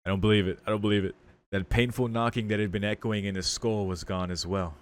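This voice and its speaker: A man's voice: on the low side, fairly even intonation, fast talker. A man playing through a visual novel, reading its text aloud dramatically and reacting in casual, slangy speech. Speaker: video game streamer doing a playthrough. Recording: music behind the voice. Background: game music.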